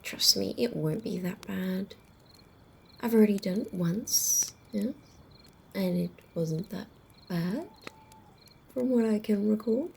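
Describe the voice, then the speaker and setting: A woman playing a haughty, sardonic female vampire in an ASMR roleplay, speaking softly and slowly, often slipping into a whisper, with a condescending, teasing drawl. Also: mid-range voice, creaky, slight background noise. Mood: reassuring. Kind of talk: ASMR roleplay acting, close and intimate.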